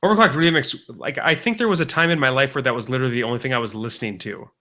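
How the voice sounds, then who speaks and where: Bright, forceful male podcast co-host. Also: medium pitch, rapid-fire. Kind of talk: casual comedic podcast banter.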